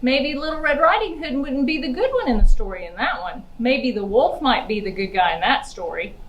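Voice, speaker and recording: A woman's voice: high-pitched, very animated, brisk, muffled recording. A woman giving a chatty children's library book talk, using simple words and putting questions to young listeners. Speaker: library outreach puppeteer and presenter. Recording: roomy, echoey indoor sound.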